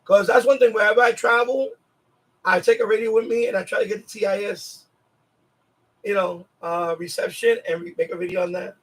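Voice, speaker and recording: A man's voice: high voice, steady tone, fairly quick, a bit bright, no room sound, rough recording. A man hosting a casual live chat, rambling and often repeating himself as he talks to viewers. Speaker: livestream host.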